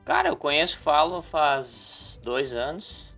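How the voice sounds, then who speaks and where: A man's voice: on the high side, wildly swooping pitch, unhurried, creaky, bright, forceful tone, slightly rough. A man from southern Brazil co-hosting a casual podcast, talking in long rambling stretches full of restarts. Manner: rambling, many restarts and fillers. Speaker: podcast co-host. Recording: slightly dull podcast audio with faint music.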